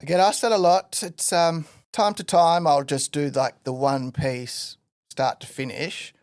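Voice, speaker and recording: Relaxed, rambling man. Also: on the high side, measured pace, no echo, clean studio sound. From Western Australia. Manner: relaxed rambling storyteller with many fillers. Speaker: guest artist. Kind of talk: casual podcast interview.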